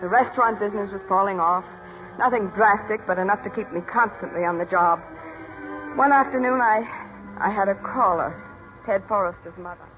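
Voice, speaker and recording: A woman's voice: medium pitch, normal speed, faint music behind, lightly acted. An actress playing a proud, determined mother and businesswoman in 1940s radio drama style. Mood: somber. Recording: dull, noisy 1940s radio recording.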